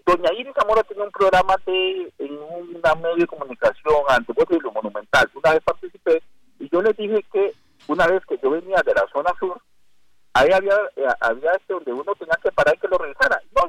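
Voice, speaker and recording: A man's voice: on the high side, expressive, fast, fairly bright tone, dry recording. A man with a Costa Rican accent calling in to a radio show by phone, talking in an emphatic, rambling way. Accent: Costa Rican Spanish. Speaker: caller. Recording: phone line call-in.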